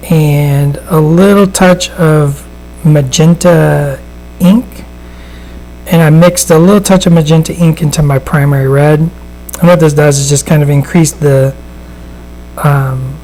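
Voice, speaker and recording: A man with a somewhat higher voice and a gravelly rasp talks off the cuff while painting on a live stream. He rambles in a relaxed way, full of fillers and restarts. A faint electrical hum sits under the recording. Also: poor audio. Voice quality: raspy. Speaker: miniature painter and host. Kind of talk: casual live painting demonstration. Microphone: studio microphone at a desk.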